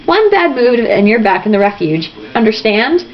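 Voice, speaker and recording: A woman's voice: a little high, sing-song, normal speed. Girl reading as a young tough guy bully, slangy and taunting, storybook style, kinda muffled recording. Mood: taunting menace. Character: younger Delancey brother, menacing young male street thug. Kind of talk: amateur storybook read-aloud.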